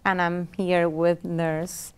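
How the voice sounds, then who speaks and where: A woman from Puerto Rico hosts a conversational interview. Her voice is smooth and clear, at a middling to slightly low pitch. She speaks as a public health presenter welcoming a guest. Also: level tone, takes it slowly. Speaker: substitute host, public health worker. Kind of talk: warm conversational interview hosting.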